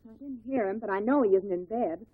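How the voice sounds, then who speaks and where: An actress playing an anxious, defensive young wife in a 1950s radio drama. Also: female voice, medium pitch, fairly quick, slightly rough, close to an everyday voice. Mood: uneasy. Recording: muffled, noisy 1950 radio recording.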